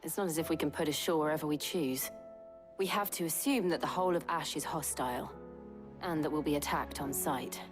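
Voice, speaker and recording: An actress playing a calm, pragmatic young warrior in a video game, with a British accent and earnest, measured speech. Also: female voice, deep voice, faint music behind, natural voice. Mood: sober concern. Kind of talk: video game cutscene acting.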